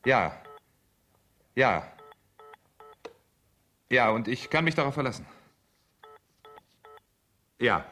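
An actor playing a soothing, slightly hesitant husband in a German radio crime thriller, speaking in a measured way. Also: male voice, medium pitch, sing-song, bright, forceful tone, slight background noise, exaggerated voice. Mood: urgent, businesslike. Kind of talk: German radio drama acting.

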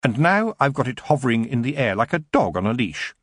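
A man voicing a clever young girl witch who speaks crisply and firmly, with a husky voice. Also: mid-range voice, very animated, fairly quick, fairly bright tone, no echo, clean studio sound. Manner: crisp, sweetly firm.

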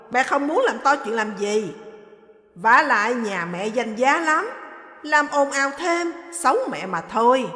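A woman's voice: a little high, full character voice. Woman playing a stern older mother-in-law. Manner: sharp, loud, reproachful. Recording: roomy with long reverb.

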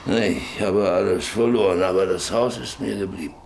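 An actor playing a sick, stubborn, lonely old rancher in a German radio play, halting and wistful, lost in memories. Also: male voice, deep voice, vocal fry, darkish tone. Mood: wistful, haunted. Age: older. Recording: noisy radio play with ambient sound.

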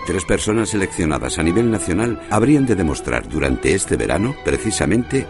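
A man's voice: deep voice, very animated, fairly quick, music in the background. A man narrating a scripted mock-mystery radio fiction intro. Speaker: narrator of an audio fiction show.

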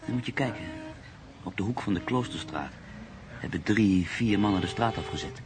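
An actor playing a reflective, hesitant journalist and writer in his mid-thirties in a radio drama, speaking in a measured, literary way. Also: low voice, animated, noisy background. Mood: relaxed, curious. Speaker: actor narrating as the lead character. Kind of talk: radio drama monologue and dialogue.

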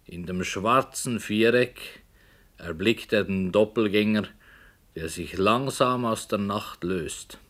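An actor playing a matter-of-fact storyteller who narrates and directs the play. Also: male voice, fairly low pitch, takes it slowly, a bit bright. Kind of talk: radio drama narration.

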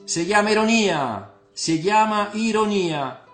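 A man's voice: sits high, animated, takes it slowly, fairly bright tone, reverberant, faint music behind, pitched above the performer's own voice, exaggerated voice. A man voicing a cocky, talkative young Roman man who banters and teases in a colloquial Roman accent. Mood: teasing.

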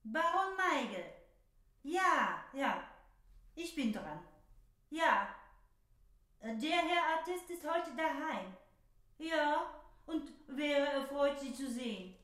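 A brazen young housemaid with a high voice, heard in a roomy echo. She talks slowly, languid and coquettish, in radio drama style. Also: lively intonation, a bit bright, full character voice. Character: cool, brazen young housemaid of a mysterious gentleman. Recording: roomy, echoing sound.

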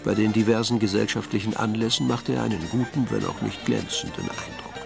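A man narrating a radio drama as a dry, composed storyteller, in a measured, literary manner. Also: lowish voice, gravelly, music in the background.

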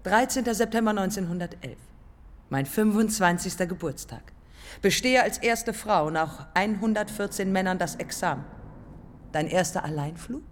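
An actress playing a proud, defiant dead pioneer aviator in a German radio drama, speaking in measured, matter-of-fact phrases. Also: female voice, medium pitch, expressive, big-room sound, natural voice. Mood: solemn, measured. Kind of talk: German public radio drama, lyrical dialogue.

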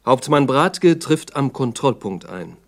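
Sober, medium-pitched male radio narrator. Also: expressive, takes it slowly. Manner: sober, factual, report-like. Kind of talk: scripted documentary-style narration in a 1960s radio play.

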